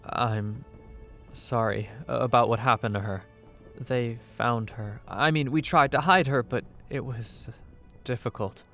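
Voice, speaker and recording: A man playing a nervous, apologetic young man in an audio drama, halting and stammering as he talks. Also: mid-range voice, fairly bright tone, faint music behind. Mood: nervous, remorseful. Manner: stammering, hesitant.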